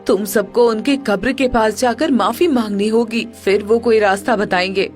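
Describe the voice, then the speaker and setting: A woman acts out a sage's devoted disciple in a cartoon moral tale. She speaks firmly and earnestly in a fairly high voice, with music in the background. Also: moderate pace, a little mellow, lightly acted. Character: sage's grieving, principled female disciple. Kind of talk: Hindi moral-story cartoon dialogue.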